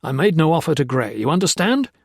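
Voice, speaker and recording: A man's voice: fairly high pitch, very animated, fast, vocal fry, slightly rough, no echo, voice pushed up, heavily acted. Guy doing a bossy crooked colonel, barking threats, dry close voice. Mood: bullying. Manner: commanding, blustering, threatening. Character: bullying male army colonel, menacing and crooked.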